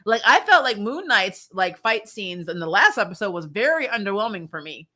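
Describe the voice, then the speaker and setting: A woman giving a casual, opinionated review in an animated, sweary way, acting out bits of mock dialogue. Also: mid-range voice, normal speed. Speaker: host of a TV series review show.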